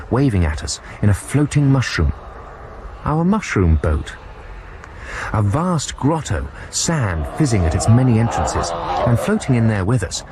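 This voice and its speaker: An actor playing a timid, earnest young German student who narrates his adventure in a German accent, in a radio drama. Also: mid-range voice. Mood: awed. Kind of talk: dramatised first-person narration. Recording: 1990s radio broadcast with noisy effects behind.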